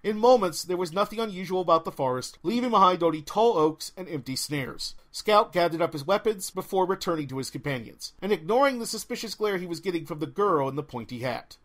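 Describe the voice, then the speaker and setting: High-voiced, animated man narrating. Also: fairly quick, hardly any pauses. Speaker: audiobook narrator. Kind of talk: expressive scripted story narration.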